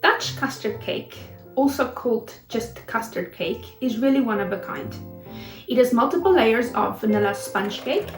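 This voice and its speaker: South African female contributor, Afrikaans first language, high voice, prepared explainer, echoey room. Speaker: guest contributor. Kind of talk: prepared self-recorded explanation. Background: soft instrumental music.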